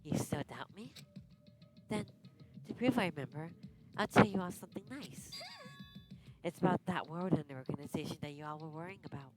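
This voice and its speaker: A devoted young woman, unhinged and dreamy, giggles between lines, as a woman acts her out. Her voice sits fairly low. Loud dramatic music plays under her. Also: a little fast, long pauses, close to an everyday voice. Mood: manic. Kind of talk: dramatic game dialogue. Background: loud game music.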